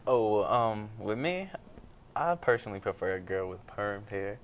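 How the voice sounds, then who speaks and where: A man's voice: fairly low pitch, unhurried. A man in his early twenties giving his opinions as a guest in a casual group chat. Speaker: panel guest. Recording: faint background noise, room ambience.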